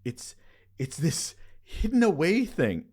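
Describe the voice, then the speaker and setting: A man hosting a podcast, delivering a conversational scripted monologue with emphatic, expressive phrasing and dramatic pauses. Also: fairly high pitch, husky. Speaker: podcast host.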